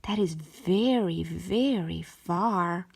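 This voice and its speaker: Woman as a tender, very slow-talking big father hare. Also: fairly low pitch, creaky, breathy edge, exaggerated voice. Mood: hushed, loving. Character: big adult male hare, tender, patient parent. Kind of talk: children's storybook read-aloud.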